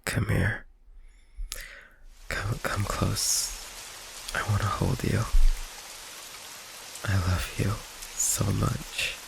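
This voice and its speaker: Casting: male actor as tender, sheepish vampire boyfriend for ASMR audio; low, heavily creaky voice, soft hesitant delivery. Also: little pitch movement, exaggerated voice. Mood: moved, loving. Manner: soft, hesitant, halting, breathy sighs and chuckles. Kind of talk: intimate ASMR roleplay. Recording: faint noise, rain ambience behind. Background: rain ambience.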